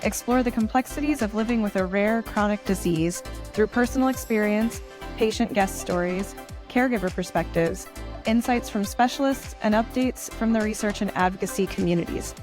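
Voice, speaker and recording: A woman's voice: medium pitch, moderate pace. A woman reading a scripted podcast introduction as announcer, with music playing behind her. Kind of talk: scripted promotional narration. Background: music bed.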